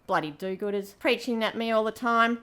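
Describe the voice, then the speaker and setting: A woman's voice: fairly high pitch, fairly quick, a bit bright, smooth, lightly acted. A woman playing a brash, roguish space pirate queen in an amateur audio drama, with a brassy, casual manner and free swearing. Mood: breezy, boastful.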